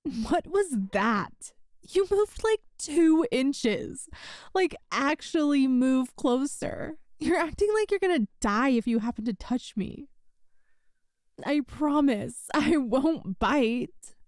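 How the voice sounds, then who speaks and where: A woman plays a young woman who loves to tease, speaking one side of an intimate roleplay conversation. Her voice sits high and she takes her time over each line, conversational and playful. The sound is close and dry, with no room around it. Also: lively intonation, slow, darkish tone, dry recording. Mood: teasing. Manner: teasing, giggly, conversational. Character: playful teasing young woman, best friend's older sister. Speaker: audio roleplay voice actor.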